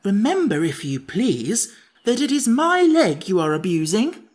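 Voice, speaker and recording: A woman's voice: mid-range voice, very animated, takes it slowly, voice pushed up. A woman voicing a prim, easily offended male pumpkin-headed figure in a storybook reading. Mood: indignant.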